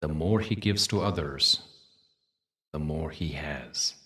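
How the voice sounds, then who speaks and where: A man leading a guided meditation in a soothing, measured way, leaving long pauses between short phrases. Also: a bass voice, pushed and bright, recorded from a distance. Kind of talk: guided meditation with spoken teaching.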